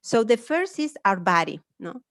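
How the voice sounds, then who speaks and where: A woman's voice: medium pitch, big pitch swings, normal speed, a bit bright, dry recording, studio quality. A woman academic with a Spanish accent giving a conference presentation, explaining her points through questions. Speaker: academic speaker.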